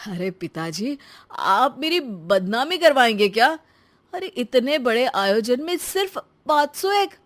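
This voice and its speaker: Woman doing a bragging cartoon husband, loud and showy, kinda high voice. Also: big pitch swings, unhurried. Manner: boastful, brash. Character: showy spendthrift young husband, boastful. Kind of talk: cartoon dubbing.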